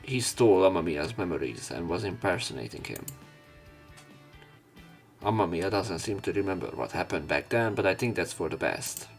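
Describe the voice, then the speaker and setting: A man's voice: sits low, lively intonation, moderate pace, soft background music. A man doing let's play commentary, reading visual novel narration aloud with opinionated asides. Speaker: let's player.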